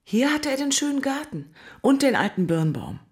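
An actress playing a high-minded heiress in a radio play, speaking in a lofty, moralising manner. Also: female voice, very animated, normal speed. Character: high-minded heiress loftily justifying a grim scheme.

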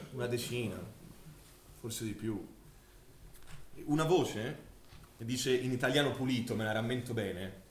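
A male actor plays a grim survivor bearing witness to a massacre on stage. He speaks in a halting, emphatic monologue, with a middle-pitched voice in a very reverberant space with faint noise. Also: close to an everyday voice. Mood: grave, tense. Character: male survivor giving grim eyewitness testimony of a wartime massacre. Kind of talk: theatrical documentary monologue. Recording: echoey, distant stage recording with hiss.

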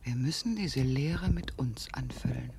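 A man playing a grave, brooding survivor in a 1970s radio drama, with measured, formal phrasing. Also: medium pitch, creaky, a little mellow. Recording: faintly noisy 1970s radio recording.

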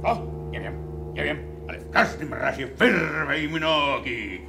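Fanatical galloping rider, raised gravelly voice, repetitive commanding chant, radio play. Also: male voice, a little high, lively intonation, a little slow. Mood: fierce. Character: fanatical galloping count, bloodthirsty revolutionary rider. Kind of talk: theatrical radio drama acting. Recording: 1980 radio play with music behind.

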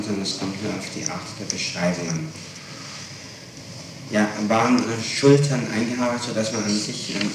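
Lowish-voiced male interrogator, heavy echo. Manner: halting, methodical, insistent questioning. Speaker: prosecutor questioning a witness. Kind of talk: formal interrogation. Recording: echoey, distant, noisy 1960s archive tape.

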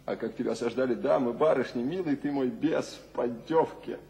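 An actor playing an ailing, tormented, restless poet with a husky voice in a Soviet radio drama. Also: male voice, mid-range voice, moderate pace, dark tone, slight background noise, close to an everyday voice. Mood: tender, reproachful.

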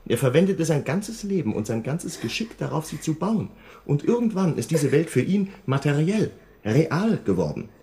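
An actor playing a worldly, persuasive doctor in a radio drama, insistent and given to explaining. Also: male voice, fairly high pitch, sing-song, moderate pace, warm tone. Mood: grave, knowing.

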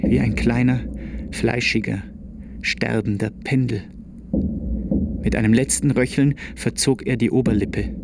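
A man narrates a literary radio play in a grave, deliberate and dramatic way. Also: mid-range voice, steady tone, gravelly, heavy background noise.

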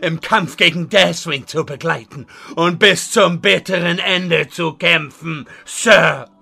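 A man playing a meek, bumbling rookie wizard, stammering and submissive with a strained raspy voice in a parody radio play. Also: fairly high pitch, takes it slowly, sharp tone, heavily acted. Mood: cowed, obedient.

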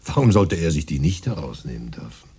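An actor playing a modest, eager and fussy poet in a German radio drama, husky and musing, muttering to himself. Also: male voice, a bass voice, very animated, fairly quick, warm tone, slight background noise, full character voice. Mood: explanatory. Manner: musing, hesitant, muttering as he reads.

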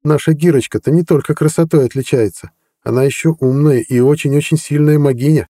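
A man playing a sly, learned prince, higher than his own voice, teasing and ironic. Dark tone, dry and close. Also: fairly even intonation, a little fast, dry recording, close to an everyday voice. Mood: mocking.

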